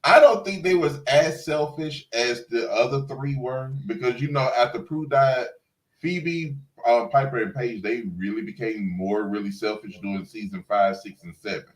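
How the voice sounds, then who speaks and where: A man's voice: medium pitch, normal speed, low-quality recording. A man co-hosting a casual TV review chat, speaking colloquially and teasingly, repeating himself for emphasis. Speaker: co-host of a TV review livestream.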